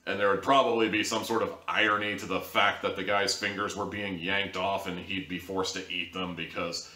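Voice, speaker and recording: A low-pitched man with a bright, forceful edge to his voice, reviewing an audio drama in a rambling, sarcastic monologue. His pitch jumps suddenly for emphasis. He sounds like he is recording in an echoey room. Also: flat delivery, moderate pace.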